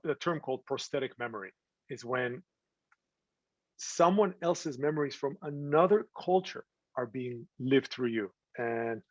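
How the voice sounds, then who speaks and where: A man giving an earnest talk to an audience about his film, often pausing to find words. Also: medium pitch, no echo. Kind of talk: presentation to an audience. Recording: slightly dull, dry sound.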